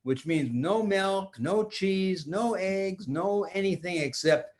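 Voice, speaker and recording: Cheery, coaxing parent for a kids' storybook, slightly high voice played by an older male storyteller. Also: slow pace, darkish tone. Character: cheerful parents announcing a wholesome family dinner.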